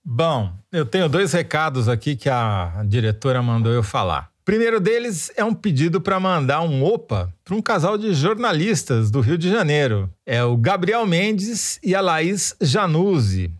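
A Brazilian man co-hosting a podcast, reading out listener messages. He speaks in a wry, measured way at an ordinary pitch. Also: sing-song. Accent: Brazilian Portuguese. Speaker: podcast co-host.

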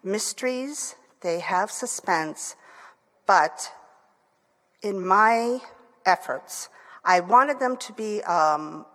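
A woman's voice: medium pitch, expressive, drawn-out words, long pauses, a bit bright. A woman from Michigan with a Michigan accent, an author talking eagerly about her novels, with frequent fillers and restarts. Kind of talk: book talk. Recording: long hall reverb.

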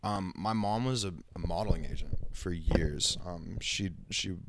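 A man, telling stories in a laid-back, halting way as an interview guest. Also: low voice, gravelly, background noise. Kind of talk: casual interview chat.

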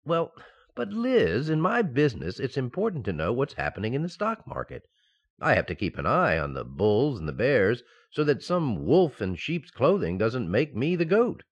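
A man acts out a sensible banker husband in a radio comedy. He explains with strained patience and mock sternness, his pitch swinging dramatically up and down. The recording is a little dull. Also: huge pitch swings, normal speed, husky, full character voice. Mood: self-important. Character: exasperated, sensible banker husband in a domestic sitcom. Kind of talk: old-time radio sitcom acting.

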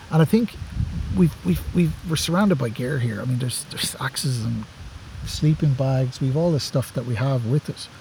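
An Irish man talks eagerly and casually, his voice mellow and soft-edged. He often repeats words as he gathers his thoughts. A faint outdoor ambience sits behind him. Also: mid-range voice, a little hiss or hum, rough recording. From Ireland. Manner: enthusiastic, repeats words while thinking. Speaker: guest, forestry worker. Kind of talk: casual podcast conversation. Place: outdoors among camping gear.

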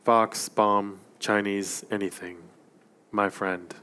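A man quoting his terse elderly grandfather in clipped, broken phrases, in a low smooth voice. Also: level tone, slow pace, bright, forceful tone. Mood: blunt. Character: terse elderly male grandfather, blunt and wry.

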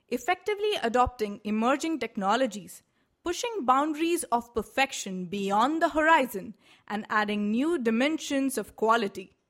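A woman with an Indian accent reading a scripted corporate voice-over in a measured, clearly enunciated way. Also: fairly high pitch, a bit bright. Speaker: voice-over artist.

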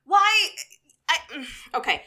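Bright-voiced woman co-hosting a chatty show. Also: very high voice, big pitch swings, takes it slowly. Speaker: podcast co-host. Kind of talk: casual panel chat and news reading.

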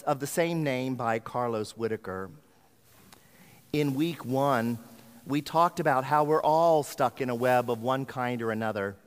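A male preacher delivers a sermon with earnest, deliberate phrasing. His medium-pitched voice rings through a big hall with long reverb. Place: large hall.

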